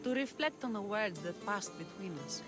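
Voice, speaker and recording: A woman playing a brave young rebel leader and huntress in a video game, haunted yet tender, speaking in an earnest, measured, dramatic way. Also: mid-range voice, expressive, lightly acted. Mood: tender, intimate. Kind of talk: cinematic video game acting. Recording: cinematic music behind the voice. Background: music.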